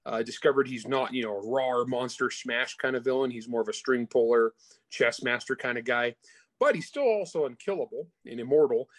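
Male author as interview guest, mid-pitched, informal and enthusiastic, very little room sound. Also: fairly quick, no room sound. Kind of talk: casual interview chat about their own books.

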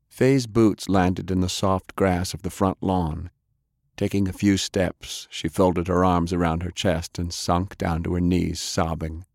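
A man with a fairly low voice reads scripted fiction as an audiobook narrator. The recording is very dry, with no audible room around him. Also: darkish tone, dry recording.

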